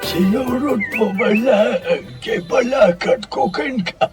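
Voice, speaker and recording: A man's voice: very high voice, slow pace, over loud music. A man playing an advice-giving friend in a comedy radio drama, drawling theatrically with an Ilocano accent. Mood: smug, amused. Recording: distant from the microphone.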